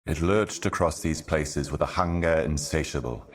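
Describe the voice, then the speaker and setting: An actor playing an ominous, ancient otherworldly herald in a video game, speaking in solemn, cryptic, deliberate monologue. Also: male voice, very deep voice, a little hiss or hum. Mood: ominous, brooding. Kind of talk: scripted video game voice acting, slow monologue.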